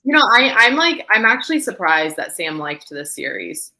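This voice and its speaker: A woman's voice: mid-range voice, very animated, normal speed, creaky, bright, forceful tone, poor audio. A woman hosting a casual book chat live show, repeating phrases for emphasis.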